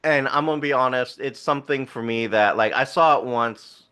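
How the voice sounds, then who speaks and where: A man's voice: medium pitch, normal speed, sharp tone, clear, resonant tone. A man with a Southern US accent co-hosting a casual movie review podcast, laid-back and chuckling as he talks. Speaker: podcast co-host.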